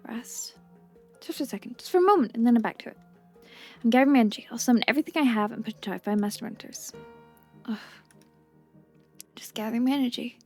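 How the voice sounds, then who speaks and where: A woman's voice: on the high side, fast talker, long pauses, a little mellow, faint music behind. A woman voicing a driven, exhausted young female warrior, earnest and hesitant. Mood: exhausted, insistent. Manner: earnest, hesitant, self-questioning.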